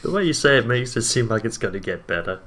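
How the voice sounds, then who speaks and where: Wry man with very lively intonation. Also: mid-range voice, quick pace. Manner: wry, mock-serious reactions. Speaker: co-host reacting to the reading. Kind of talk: casual comic commentary. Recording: music clearly behind the voice. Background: background music.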